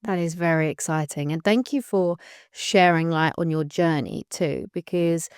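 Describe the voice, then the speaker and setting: Upbeat woman host, slightly low voice, smooth and resonant, effusive delivery. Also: moderate pace, no echo, clean studio sound. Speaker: podcast host. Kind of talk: hosted interview.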